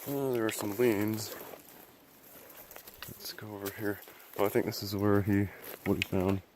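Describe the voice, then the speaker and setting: A man with a cold and a hoarse voice, narrating casually as he hikes through a remote mountain forest. He rambles, thinks aloud and clears his throat often. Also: fairly low pitch, steady tone, a little slow, darkish tone, slight background noise. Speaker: outdoor explorer filming a vlog. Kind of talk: casual narration while hiking.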